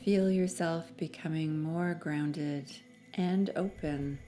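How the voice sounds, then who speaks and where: A woman narrating a guided meditation in a soothing, measured manner with long pauses between phrases. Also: low-pitched, steady tone, music in the background.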